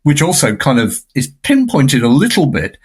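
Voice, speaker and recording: A man's voice: mid-range voice, big pitch swings, moderate pace, husky. Man in discursive film discussion. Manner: discursive, adding tag questions. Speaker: film scholar guest on a podcast. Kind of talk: unscripted analytical podcast discussion.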